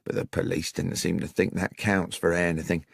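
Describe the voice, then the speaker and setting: A man's voice: deep voice, little pitch movement, normal speed, gravelly, slightly rough, no room sound, studio quality, full character voice. A man as an anxious old British publican. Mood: insistent, anxious. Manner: plain-spoken, insistent, rustic. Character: anxious, earnest older male village publican.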